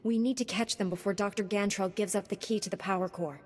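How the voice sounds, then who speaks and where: An actress playing a calm, resolute female knight hero in a video game. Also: medium pitch, fast talker, natural voice. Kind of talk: dramatic video game voice acting. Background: music behind the voice.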